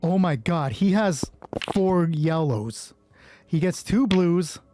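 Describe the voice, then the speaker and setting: A man's voice: a little high, lively intonation, slow, mellow, a little hiss or hum. A man game mastering a solo roleplaying game, thinking aloud through dice rolls in casual, sweary commentary.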